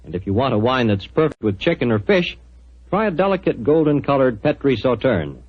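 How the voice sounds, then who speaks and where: A man's voice: medium pitch, big pitch swings, a little fast. A man working as a 1940s radio announcer. He has a mid-range voice and a polished, persuasive delivery suited to sponsor spots and story introductions. The vintage recording is muffled.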